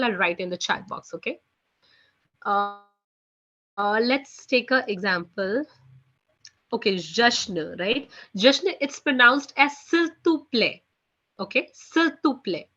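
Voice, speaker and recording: A woman with an Indian English accent teaching a beginner French class online, often repeating "you know" and "okay" between phrases. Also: pushed and bright. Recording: muffled low-fidelity audio.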